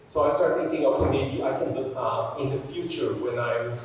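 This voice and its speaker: A collector from the Philippines talks to students in a big gallery. He hesitates and restarts his sentences. He stands off-mic, so the long hall reverb and faint ambience come through clearly. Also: mid-range voice, recorded from a distance, a little hiss or hum. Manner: halting, with restarts and "uh". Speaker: art collector, guest speaker. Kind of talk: personal talk to students. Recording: echoey hall, speaker off-mic.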